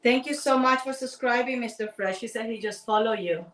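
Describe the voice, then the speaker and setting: A woman from Ecuador speaks English with a Spanish accent, chatting playfully and talking straight to her viewers as she co-hosts a live stream. Her voice sits a little high. The sound is roomy and comes from some distance away from the mic. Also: brisk, background noise. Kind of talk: casual live-stream chat.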